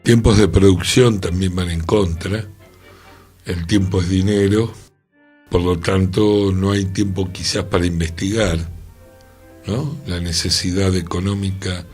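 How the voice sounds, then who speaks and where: Deep husky man, slow talker. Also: a little mellow. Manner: measured, reflective. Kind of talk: casual radio discussion. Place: radio studio.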